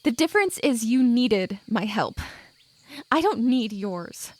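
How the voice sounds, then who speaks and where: A woman's voice: fairly high pitch, lively intonation, takes it slowly, no echo. A woman playing a stubborn, proud young hiker in an audio drama. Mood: stubborn, angry. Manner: stubborn, indignant. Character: Maggie, proud defiant young woman on a trail hike.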